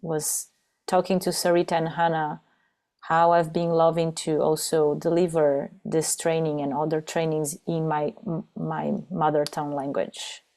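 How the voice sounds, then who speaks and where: A woman whose first language is Portuguese, speaking English with an accent on a video call, halting with many restarts. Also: low-pitched, little pitch movement, darkish tone. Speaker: trainer for mothers. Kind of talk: casual video-call interview.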